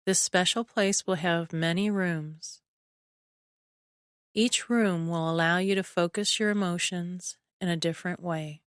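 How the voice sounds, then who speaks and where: A woman narrating a scripted guided relaxation in a soothing, measured way, with short phrases and long pauses. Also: fairly low pitch, clear, resonant tone, no room sound, studio quality. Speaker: guided imagery meditation narrator.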